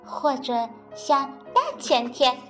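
An eager little rabbit boy from a children's storybook, raised and bright, calling out with excitement, as a woman puts him on. Soft music sits faintly behind. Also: high-pitched, slow. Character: lively excitable male rabbit, the frog's friend.

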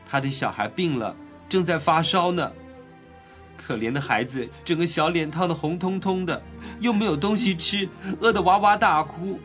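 A man playing a gentle, sorrowful prince statue, speaking earnestly and mournfully in a children's audio storybook. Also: fairly high pitch, moderate pace, faint music behind, lightly acted.

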